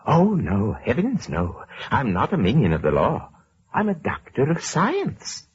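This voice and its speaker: An actor playing a meek, bookish little professor, polite, stammering and fussily formal, in an old radio drama. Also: male voice, mid-range voice, wildly swooping pitch, unhurried, husky, heavily acted. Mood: earnest, flustered. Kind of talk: 1940s radio acting. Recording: muffled old radio recording.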